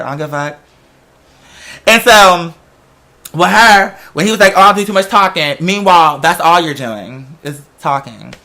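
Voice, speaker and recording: American man, high-pitched, sharp bright tone, sassy, emphatic, sweary, casual storytelling rant. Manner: sassy, confrontational, emphatic, heavy slang and swearing.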